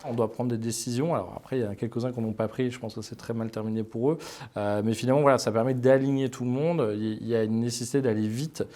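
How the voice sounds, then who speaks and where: Assured middle-aged man, mellow voice. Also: mid-range voice, steady tone, fast. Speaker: think tank head. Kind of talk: panel discussion.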